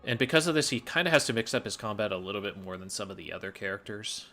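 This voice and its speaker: A man's voice: on the low side, quick pace, sharp tone, faint music behind. A man giving a casual gameplay commentary as a speedrunner explaining his play.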